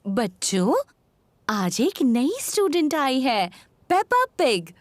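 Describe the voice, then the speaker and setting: An elegant gazelle ballet mistress in a children's cartoon. Her high voice swoops dramatically as she gives gracious, drawn-out instructions at a slow pace, with music behind her. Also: very animated, slight background noise, exaggerated voice. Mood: encouraging, graceful. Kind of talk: children's cartoon dub dialogue.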